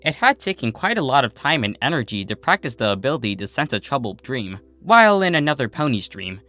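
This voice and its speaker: A man narrates a scripted story in a bright voice. His pitch moves in lively swings, and faint music plays behind him. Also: brisk. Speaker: storyteller reading fan fiction aloud.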